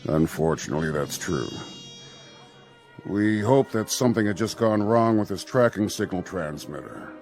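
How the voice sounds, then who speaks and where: An actor playing a stern Air Force general in a radio drama, speaking in a deliberate, formal, official manner. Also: male voice, fairly low pitch, expressive, a little mellow, soft background music. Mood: grave, guarded.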